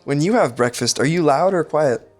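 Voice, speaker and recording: A woman's voice: deep voice, lively intonation, moderate pace, a little mellow, faint music behind. A woman playing a flirty, slightly awkward film-buff suitor in an audio sitcom. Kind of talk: sitcom audio drama acting.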